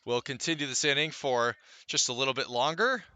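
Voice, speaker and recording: A man giving live radio play-by-play of a baseball game, calling it in measured, deliberate phrases with pauses. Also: medium pitch, pushed and bright, smooth, dry recording. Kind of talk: live radio sports commentary.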